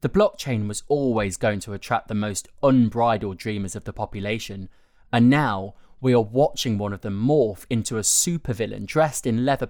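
A man narrating a written article in a measured, articulate way. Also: mid-range voice, darkish tone, no room sound, studio quality. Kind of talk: scripted audio article narration.

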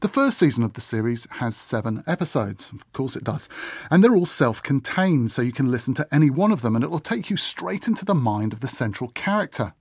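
A man presents in scripted narration with a lively, swooping pitch. The recording is close and dry, with no room sound. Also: brisk, breathy edge, no room sound. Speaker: podcast presenter.

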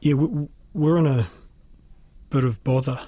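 A man with a New Zealand accent explaining things in a measured, matter-of-fact way, like a school principal in an interview. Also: mid-range voice, dark tone, husky, no room sound.

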